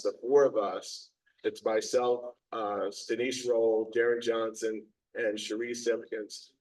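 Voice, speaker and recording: Hoarse middle-aged man, low voice, halting community talk, distant mic. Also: darkish tone. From Maryland, USA. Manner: halting, many ums and restarts. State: hoarse, lost his voice. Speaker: circuit court judge. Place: meeting room.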